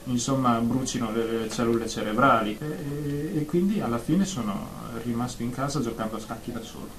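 A man's voice: mid-range voice, brisk, close to an everyday voice. An actor playing an anxious, phobic male therapy patient in a radio drama, stammering hesitantly and repeating words. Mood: nervous, hesitant. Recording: roomy echo, faint background noise.

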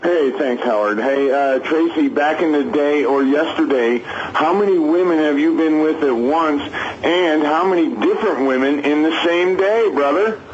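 Man, call-in listener, lo-fi phone sound, noticeable noise, slightly raised pitch, casual questioning. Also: unhurried. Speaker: caller to radio show. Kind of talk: casual chat, asking questions. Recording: dull noisy phone call.

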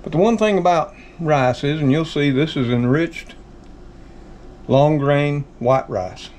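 A man's voice: fairly high pitch, measured pace, a little mellow, a little hiss or hum. A middle-aged Southern American man in his home kitchen, talking viewers through his food stockpile in a folksy, plain-spoken way. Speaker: channel host. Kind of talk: casual how-to talk.

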